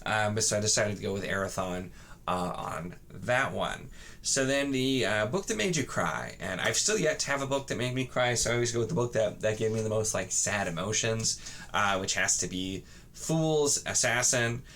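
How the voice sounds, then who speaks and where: A man chatting casually to camera as a book vlogger, in a halting, rambling way with frequent false starts. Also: fairly low pitch, animated, fairly bright tone, a little hiss or hum. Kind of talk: casual chat to camera about books.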